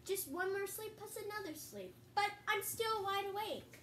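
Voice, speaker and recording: A woman's voice: very high voice, moderate pace, a bit bright. A young girl co-hosting a casual storybook reading, chatting and reading aloud. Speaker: co-host and storybook reader. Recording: distant mic, roomy echo, faint noise.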